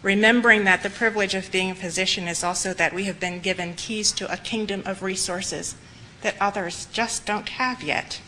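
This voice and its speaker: Woman, slightly hoarse, earnest lecture, bright tone, faint background noise. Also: medium pitch, fairly even intonation, normal speed, poor audio. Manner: earnest, reflective, with emphatic repetition. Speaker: lecturer for medical students. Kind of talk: spoken lecture.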